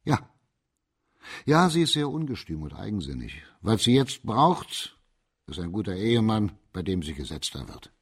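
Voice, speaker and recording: An actor in a German radio play playing a shrewd, composed magistrate of old China who explains things deliberately and with authority. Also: male voice, lowish voice, very animated, breathy edge, exaggerated voice. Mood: calm, reflective.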